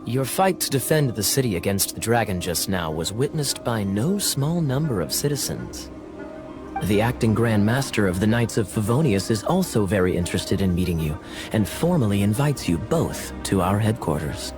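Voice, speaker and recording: A man's voice: on the low side, big pitch swings, moderate pace. A man playing a suave, courteous, self-assured knight in a fantasy video game, with formal, polished phrasing. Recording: game music under the voice. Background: music.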